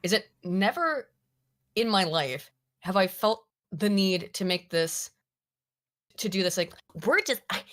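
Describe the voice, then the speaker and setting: A woman hosting a casual political chat stream, talking in animated, rambling bursts full of restarts and self-corrections. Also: medium pitch, measured pace. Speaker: stream host. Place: lives in the UK.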